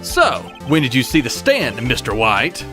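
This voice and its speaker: Man acting a determined, animated young defense lawyer. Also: mid-range voice, a little slow. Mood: accusing. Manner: assertive, pressing. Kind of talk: voiced video game dub acting. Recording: music under the voice.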